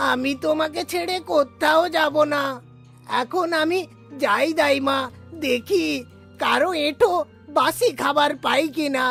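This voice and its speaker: Devoted, starving cartoon orphan played by a woman, high-pitched and plaintive. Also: vocal fry, a bit bright, full character voice. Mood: resigned, loyal. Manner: pleading, plaintive. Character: poor hungry devoted orphan child Dukhu. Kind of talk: cartoon voice acting. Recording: faint background music.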